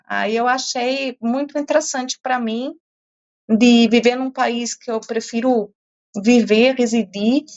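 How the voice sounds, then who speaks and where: A woman's voice: a little high, lo-fi sound. A middle-aged German-born woman with a European Portuguese accent, a climate science professor answering interview questions thoughtfully, with many fillers and restarts.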